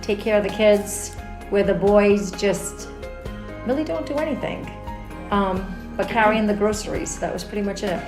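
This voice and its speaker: A woman's voice: mid-range voice, animated, normal speed. A woman giving her casual opinion as an interviewee. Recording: roomy echo with music underneath. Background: music.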